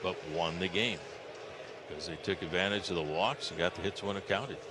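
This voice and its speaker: A man's voice: sits low, normal speed, fairly bright tone, a little hiss or hum. A man with an American accent giving conversational color commentary on a live baseball broadcast. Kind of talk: live sports analysis, conversational.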